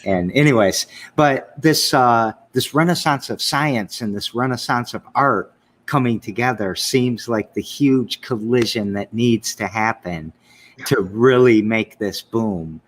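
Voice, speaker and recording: A man's voice: mid-range voice, unhurried. A man with a Michigan accent hosting a casual interview, talking enthusiastically and rambling through his thoughts. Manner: rambling, enthusiastic, trails off and restarts. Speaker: show host.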